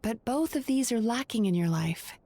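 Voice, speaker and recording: A woman playing a composed, analytical young female therapist in a video game, speaking in a measured, explanatory way. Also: on the high side, lively intonation, dark tone, no room sound. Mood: calm, analytical. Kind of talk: video game dialogue acting.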